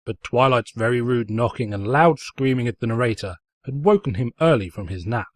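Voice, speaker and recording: A man's voice: medium pitch, fairly quick, no echo, lightly acted. Man playing a snide, pompous storybook narrator, dry recording. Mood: mocking. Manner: pompous, sarcastic, florid storytelling delivery. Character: snide, mocking male storybook narrator who insults the heroine. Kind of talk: scripted comedic fan fiction narration.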